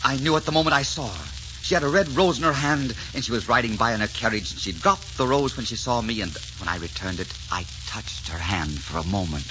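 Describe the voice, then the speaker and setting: An actor playing an ardent, defiant young French prince in a theatrical 1940s radio romance. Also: male voice, medium pitch, a little fast, lightly acted. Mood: rapturous. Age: 33 as played. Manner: theatrical, impassioned. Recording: noisy, muffled 1940s radio recording.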